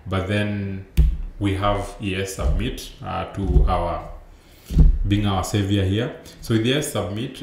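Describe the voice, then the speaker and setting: A young man with a deep, low voice walks through a software talk from an indoor room, speaking loosely with frequent fillers and self-corrections. His laptop mic picks up a roomy echo and a faint hum of background noise. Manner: explanatory, loose, many fillers and restarts. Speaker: conference speaker. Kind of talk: remote tech conference talk over slides.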